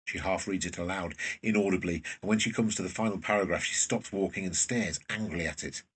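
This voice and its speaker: A man with a very husky voice reading scripted present-tense narration for a drama, recorded away from the microphone. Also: sits low, fairly quick, fairly bright tone, far from the mic, slight background noise, rough recording.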